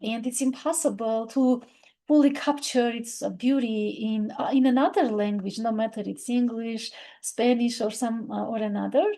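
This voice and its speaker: A woman's voice: a little high, fairly even intonation, warm tone. Reflective woman, Armenian native speaker. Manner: halting, many fillers and restarts; reflective, thoughtful. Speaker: literature video presenter. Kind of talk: unscripted literary talk.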